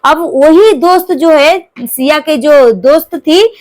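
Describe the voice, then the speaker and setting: High-pitched woman giving informal news commentary. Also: slow pace, darkish tone. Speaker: commentator on a crime news case.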